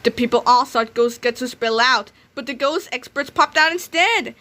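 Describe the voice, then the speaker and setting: A woman reading a children's comic aloud in an animated, dramatic, expressive way, occasionally stumbling and re-reading. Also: sits high, normal speed. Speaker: read-aloud narrator.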